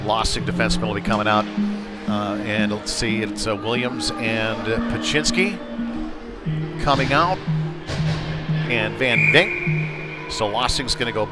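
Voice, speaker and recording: A man doing conversational, folksy live play-by-play commentary of a youth hockey game, getting excitable when play heats up. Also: on the low side, measured pace, pauses often. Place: indoor ice rink. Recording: live rink stream with music behind. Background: music over rink PA.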